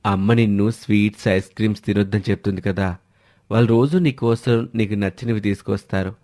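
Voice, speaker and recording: Man acting low-voiced placating young father. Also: steady tone, brisk, a little mellow, no room sound, clean studio sound, lightly acted. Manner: reassuring, persuasive.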